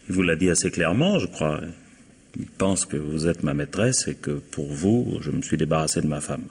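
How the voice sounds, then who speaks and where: A man's voice: very deep voice, lively intonation, moderate pace, gravelly, long pauses, darkish tone, husky, full character voice. A man playing a composed, resigned employer under suspicion, polite, reasoning and wry, in a vintage French radio mystery.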